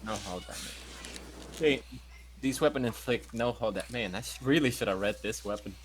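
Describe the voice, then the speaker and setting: A man's voice: mid-range voice, moderate pace, slight background noise. A man playing a tabletop game, talking casually with swearing and sarcasm. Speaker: player and ship pilot.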